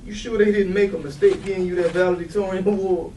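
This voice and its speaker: A man's voice: high-pitched, fairly even intonation, quick pace, mellow, lightly acted. Echoey, cocky young man played by an actor. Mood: excited. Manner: slangy, pushy, informal. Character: restless, cocky, easygoing young man, slangy joker. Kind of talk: stage readers theatre acting. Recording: echoey room, distant mic, faint noise.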